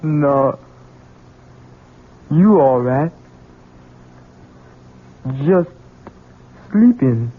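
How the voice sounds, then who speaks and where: An actor playing a simple-minded, childlike grown son in an American radio drama, speaking in a halting, pleading rustic way. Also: male voice, fairly high pitch, expressive, heavily acted. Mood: meek, apologetic. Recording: tinny band-limited old radio broadcast.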